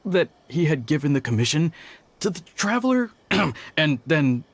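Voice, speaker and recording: Junior male officer, highish voice, swooping intonation, nervous, stammering, deferential, game dialogue. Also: sing-song, measured pace, dry recording, heavily acted. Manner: hesitant, stammering. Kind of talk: scripted video game dialogue. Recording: music behind the voice.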